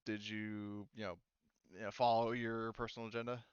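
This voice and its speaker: A man running a tabletop game session as game master, explaining rules casually in a halting, easygoing way. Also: fairly low pitch, flat delivery, clean tone, no echo, lo-fi sound.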